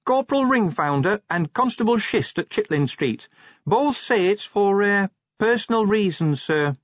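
Male narrator as a dwarf officer, high-pitched, nervous, hesitant, deferential, fantasy audiobook. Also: animated, measured pace, no room sound, muffled recording. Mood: hesitant, dutiful. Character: nervous, dutiful dwarf police sergeant, high-voiced.

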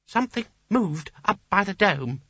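Man doing a high, sulky little wizard. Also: lively intonation, moderate pace, fairly bright tone, slightly rough, muffled recording, exaggerated voice. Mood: nervous. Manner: sulky, hesitant, insistent. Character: smallest wizard, timid but sulky, stubborn underling.

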